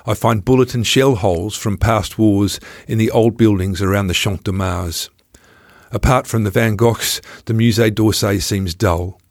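A man's voice: lowish voice, gravelly, dry recording. Dry-sounding man narrating a wry memoir. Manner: wry, self-deprecating. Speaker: podcast host and memoir narrator. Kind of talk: scripted first-person travel memoir narration. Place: podcast recording studio. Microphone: close studio microphone.

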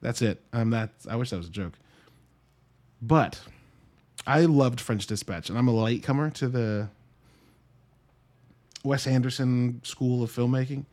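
A man's voice: mid-range voice, unhurried, long pauses, darkish tone. A man giving a casual solo movie review in a conversational, wry way, breaking into his own thoughts with dry asides. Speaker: podcast host and movie reviewer.

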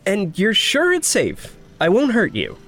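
An actor playing an earnest, awkward young man in an audio drama, speaking politely and haltingly. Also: sits high, huge pitch swings, fairly bright tone, heavily acted. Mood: uneasy, sincere. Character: earnest, awkward, anxious young male magic user. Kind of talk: naturalistic audio drama acting.

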